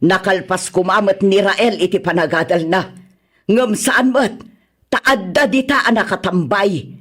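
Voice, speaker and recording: A woman's voice: medium pitch, a little slow, fairly bright tone. A woman with an Ilocano accent playing a weary, reproachful mother who scolds and nags in a radio drama. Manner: nagging, reproachful.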